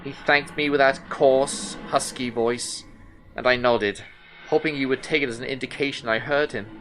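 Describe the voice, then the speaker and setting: A man playing a troubled detective who narrates an eerie horror audio drama in the first person, in a measured, brooding way. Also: mid-range voice, steady tone, a bit bright, natural voice. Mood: uneasy, observant. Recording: music under the voice. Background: music soundtrack.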